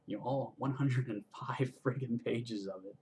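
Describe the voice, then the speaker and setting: A man's voice: mid-range voice, moderate pace, a little mellow, slight background noise. A man of twenty-one chatting casually in a vlog, rambling with lots of ums and restarts, making self-deprecating jokes. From Georgia, US. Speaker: vlogger, college student filmmaker.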